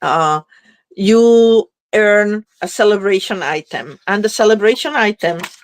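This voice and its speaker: Casting note: congested woman crafter, chatty, dry close sound, mid pitch. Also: animated, measured pace, no echo. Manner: chatty, thinking aloud while working. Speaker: craft demonstrator. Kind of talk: live crafting demo chat.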